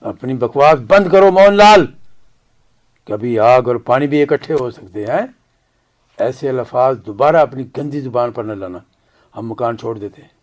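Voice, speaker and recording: A man's voice: mid-range voice, expressive, unhurried, close to an everyday voice. A man playing a proud old Kashmiri father in a radio drama, grave and indignant in manner.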